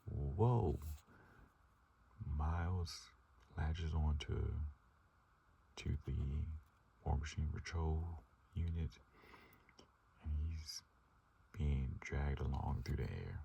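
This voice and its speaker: A man narrates a game playthrough in a soft, breathy whisper. He speaks slowly, and his voice runs very low. The mic sits some way off, and loud ambient noise fills the background. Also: distant mic.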